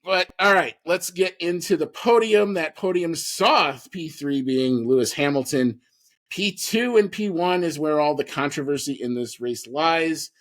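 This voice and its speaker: A man with a fairly high-pitched voice hosts a sports podcast. He recaps the action in a casual, animated way, putting emphasis on his opinions. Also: a little slow. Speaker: podcast host.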